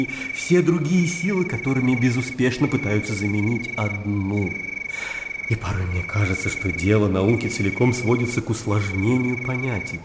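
An actor playing a fervent, high-strung young idealist gentleman in a radio play, arguing in formal, rhetorical language. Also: reverberant, in a large hall, noisy background.

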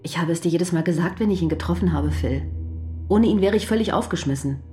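A woman's voice: fairly low pitch, fast talker, dark tone, a little hiss or hum, close to an everyday voice. An actress playing a worried, devoted wife who visits her husband in prison and presses him with earnest questions, in a radio drama. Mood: concerned.

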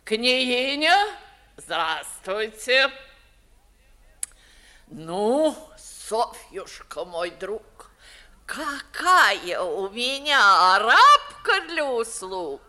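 A woman's voice: fairly high pitch, sing-song, bright, forceful tone, heavily acted. A woman playing an imperious, grumbling old noblewoman in a theatrical 1950s Russian stage comedy style. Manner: theatrical, declamatory, drawn-out grumbling. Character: imperious grumbling 65-year-old Moscow noblewoman, blunt old aunt.

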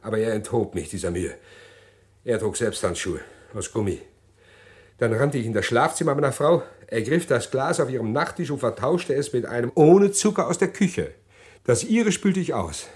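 An actor playing a middle-aged man who calmly confesses a murder plot in an old radio play, measured and hesitant. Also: medium pitch, close to an everyday voice. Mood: calm confessing. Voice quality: measured, deliberate, hesitant with pauses. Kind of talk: 1950s radio drama acting.

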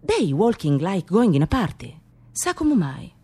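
An excitable immigrant woman with a Sicilian accent in a radio play. Her medium-pitched voice swings widely as she gossips. She tells her tale theatrically, mixing dialect with broken English. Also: unhurried, breathy edge. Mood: excited, mocking. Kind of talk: theatrical monologue for radio drama.

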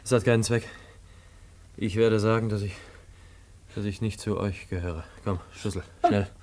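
A low-voiced young fugitive played by a man in an old radio play, over clear background noise. He speaks in a hushed, resigned, low-key way. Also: fairly even intonation, normal speed, lightly acted. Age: young adult. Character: resigned young man hiding from his pursuers. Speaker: actor. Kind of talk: 1950s German radio drama acting.

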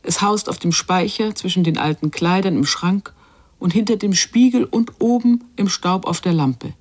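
An actress as the observant narrator of a literary radio drama, telling a child's story in a measured way. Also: female voice, lowish voice, close to an everyday voice.

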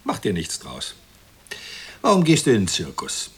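Sneering, low-voiced middle-aged husband, noisy recording. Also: male voice, wildly swooping pitch, normal speed. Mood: cynical. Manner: sneering, opinionated, self-satisfied. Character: smug, callous well-off husband commenting on television violence. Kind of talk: radio play acting.

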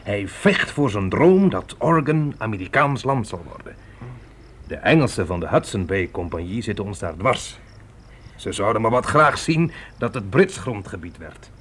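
Earnest pioneer dad in a radio play, lowish voice, very animated, crickets behind. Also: male voice, moderate pace, breathy edge, heavily acted. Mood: solemn, fervent. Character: earnest pioneer father teaching his sons on the trek. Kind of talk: radio drama acting.

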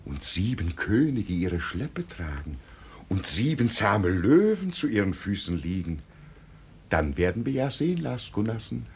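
A man's voice: lowish voice, sing-song, a little slow, slight background noise. An actor playing a deluded old peasant who believes he is an emperor, solemn and grandly proclaiming, in 1950s German radio drama style. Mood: imperious, grand.

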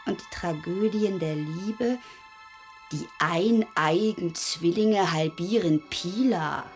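A woman acting a defiant grown daughter with bitter irony and a deliberate, literary delivery in a radio drama. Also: lowish voice, soft background music. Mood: musing, sardonic.

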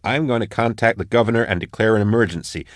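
A man reading a blunt, defiant female marshal, his voice pitched up and pushed louder. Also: fast talker, no echo, clean studio sound, close to an everyday voice.

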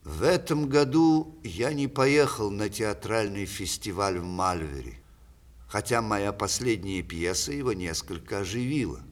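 A man playing a witty, sardonic elderly playwright, speaking with measured theatrical irony in a radio play. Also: lowish voice, lively intonation. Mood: weary, resigned. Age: older. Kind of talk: 1960s radio theatre acting.

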